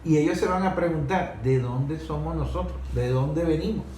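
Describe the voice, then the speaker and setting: A man's voice: fairly high pitch, moderate pace. A Venezuelan man giving unscripted political commentary in an emphatic, colloquial way, explaining through rhetorical questions. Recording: echoey room with faint noise.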